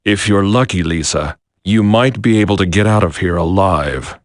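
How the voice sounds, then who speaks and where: An actor playing a grim, sorrowful renegade commander in a video game, speaking in a measured, brooding way. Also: male voice, deep voice, no room sound, studio quality, natural voice. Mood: cold resolve.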